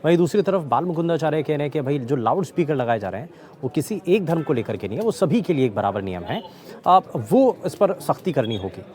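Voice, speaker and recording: A man's voice: a little high, very animated, fast talker, darkish tone. A male news anchor putting questions to a correspondent live, in a conversational way with repeated phrasing.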